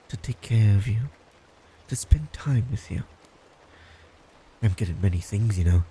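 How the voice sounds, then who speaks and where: Man playing a low, slow, creepy obsessive stalker. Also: gravelly, warm tone, a little hiss or hum, poor audio. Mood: matter-of-fact persuading. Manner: blunt, condescending, teasing. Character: blunt, smug, creepy obsessive male stalker in love. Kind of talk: boyfriend roleplay audio, intimate scripted monologue.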